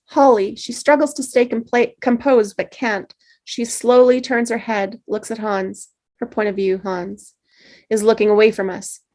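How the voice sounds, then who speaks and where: A woman reading the stage directions at a script table read, steady with small slips. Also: on the high side, measured pace.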